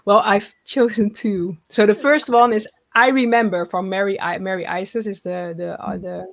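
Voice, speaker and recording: A woman's voice: mid-range voice, steady tone, vocal fry, no echo. Earnest woman, dry close sound. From Netherlands. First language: Dutch. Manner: earnest, halting with frequent restarts. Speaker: guest. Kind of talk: casual interview chat.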